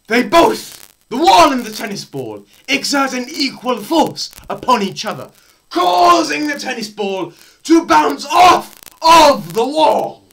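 A young man giving a dramatised lecture read aloud, theatrical and emphatic, with deliberate pauses, in a small room. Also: very high voice, big pitch swings, gravelly, a bit bright, low-quality recording. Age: teens to young adult. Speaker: presenter. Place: small bare room indoors. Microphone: camera a short distance away.

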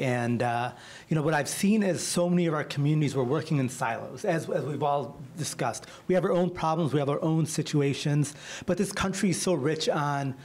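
A man's voice: mid-range voice, a little mellow. A man in his thirties, a civil rights attorney co-moderating a studio panel on a handheld mic, earnest and questioning. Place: studio stage.

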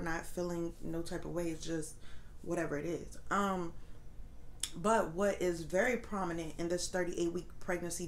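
A woman's voice: on the low side, slight background noise. A young woman vlogging candidly from her living room sofa, her voice congested and a little nasal, rambling with many fillers. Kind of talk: casual pregnancy update.

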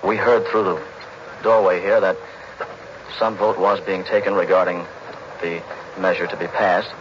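Deep-voiced American man, radio announcer, live 1940s broadcast, muffled archive sound. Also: little pitch movement, unhurried, noisy background. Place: live at the House chamber.

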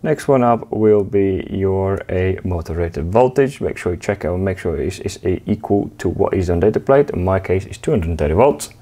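Man, lowish voice, hesitant hands-on explainer recorded in a workshop. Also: animated, mellow. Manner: halting, many fillers and restarts, plain explanatory tone. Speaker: technician demonstrating a drive. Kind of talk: hands-on tutorial. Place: workshop with wooden wall panel, indoors.

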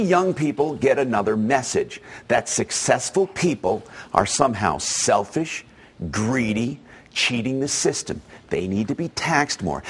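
A man with a husky voice leads an opinion segment as the show's host. His delivery is emphatic and persuasive, and his pitch rises and falls widely as he speaks straight to the audience. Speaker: show host. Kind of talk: opinion commentary monologue.

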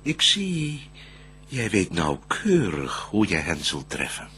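An actor playing a shrewd, wary devil in a Dutch verse radio drama, declaiming intensely in a raspy voice. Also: male voice, low voice, wildly swooping pitch, slow pace, bright, forceful tone, full character voice. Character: shrewd, wary male devil, conspiring accomplice in temptation.

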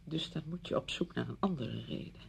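A woman's voice: low-pitched, fairly quick, gravelly, a little hiss or hum. An actress playing a well-meaning, pushy woman friend in a radio play, insistent and easily defensive, full of practical advice. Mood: coaxing. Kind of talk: Dutch radio play acting.

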